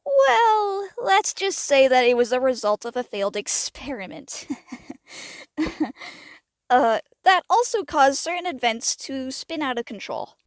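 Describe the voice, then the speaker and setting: Woman as a high-voiced, scholarly pony princess aunt. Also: sing-song, a little slow, no echo, studio quality. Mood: explaining. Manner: explanatory, reassuring. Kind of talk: amateur cartoon audio drama acting.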